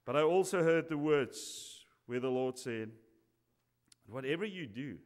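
A man's voice: medium pitch, lively intonation, measured pace. A man in his forties preaching from a church pulpit, telling a story and acting out both sides of the conversation. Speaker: pastor. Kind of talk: sermon. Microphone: stand mic at pulpit. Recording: long reverb of a church hall.

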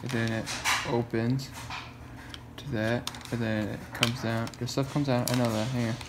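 A young man casually explaining a makeup gift in a halting, offhand way, speaking away from the phone mic. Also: medium pitch, level tone, heavy background noise. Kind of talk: casual chat.

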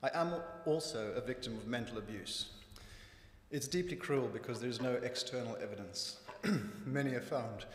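A middle-aged man with a Zimbabwean accent, reading his personal testimony from notes at a church lectern in a measured, earnest way. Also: medium pitch, a little hiss or hum. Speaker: church member giving testimony. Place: church hall with raised stage. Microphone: lectern microphone. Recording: echoey hall from lectern mic.

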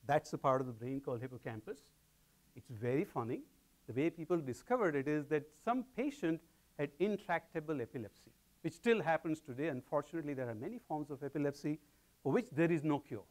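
A middle-aged man with an Indian accent lectures to a packed hall, his voice sitting a little high for a man. His pitch rises and falls in a very lively way as he moves about the stage, talking into a lapel mic. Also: fairly quick. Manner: animated, gesturing while pacing the stage. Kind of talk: public science lecture. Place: large lecture hall with audience.

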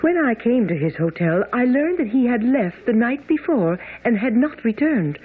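An actress playing an earnest young Victorian gentlewoman in a radio drama, formal and precise in her puzzlement. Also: on the high side, very animated, moderate pace, husky. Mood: earnest, troubled. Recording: tinny, phone-like old radio broadcast.

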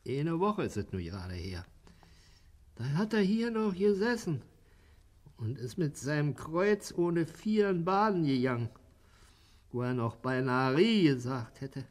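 A man's voice: a little high, very animated, unhurried. An actor playing a gregarious, middle-aged insurance salesman who gripes in colloquial Berlin dialect, in a German radio play. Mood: wistful. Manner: colloquial, griping.

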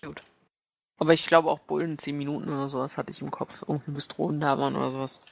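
A man's voice: on the high side, flat delivery, quick pace, creaky. A man chatting casually and a bit ramblingly as he plans in a tabletop game. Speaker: player in a tabletop role-playing game. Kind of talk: casual planning talk during a game.

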